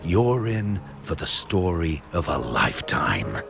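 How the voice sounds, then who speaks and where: A theatrical storyteller of old legends in a video game. His very low voice swings widely as he speaks in a measured, mysterious way. Music plays clearly behind him. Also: big pitch swings, heavily acted. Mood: grand, inviting. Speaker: storyteller character.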